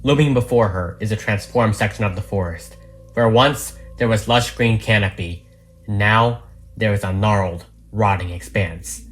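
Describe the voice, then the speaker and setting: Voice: low-pitched, normal speed. Dramatic script narrator over music. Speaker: narrator reading all parts of a fan audio drama. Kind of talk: dramatic script reading narration with stage directions. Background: background music.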